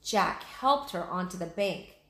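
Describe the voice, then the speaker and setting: A woman's voice: lowish voice, expressive, unhurried, sharp tone. A woman hosting a read-aloud, narrating a children's storybook expressively. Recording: echoey room.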